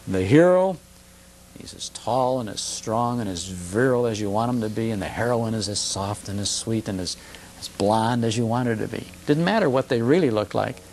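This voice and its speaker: A man's voice: on the low side, lively intonation, moderate pace, a little mellow, rough recording. American man reminiscing fluently, faint hum behind. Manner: fluent storyteller, speaks in emphatic short phrases with rhetorical questions. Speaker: guest, old-time radio broadcaster and enthusiast. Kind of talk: nostalgic conversational interview talk. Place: television studio. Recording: older TV broadcast with faint hiss and hum.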